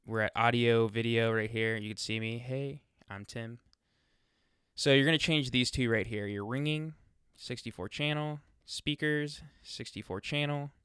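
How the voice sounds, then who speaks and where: A man presenting a casual software walkthrough, chatting informally and thinking aloud as he demonstrates, speaking into a close USB microphone. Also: mid-range voice, fairly even intonation, measured pace, clean tone, no room sound. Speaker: tutorial presenter.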